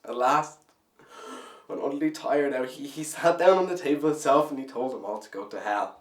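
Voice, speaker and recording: A man playing a bitter, anguished, disillusioned young man in a halting theatrical monologue, moving between brooding and outbursts. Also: medium pitch, natural voice. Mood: agitated, rising anger. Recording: echoey room, distant microphone.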